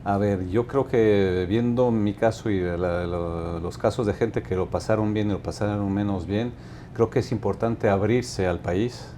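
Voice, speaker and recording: A man in his fifties with a low, dark and mellow voice, answering as a guest in a measured, unhurried way and often restarting his sentences. A faint low rumble sits under the recording. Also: fairly even intonation. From Mexico. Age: 50s. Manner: measured, thoughtful, with frequent restarts. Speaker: company director, guest. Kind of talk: interview answers.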